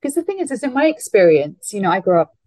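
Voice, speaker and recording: A woman hosting an interview podcast, talking thoughtfully with fillers and slowing down to stress key words. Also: medium pitch, very animated, a little mellow, no echo, studio quality. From Hong Kong. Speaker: podcast host. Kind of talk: conversational interview.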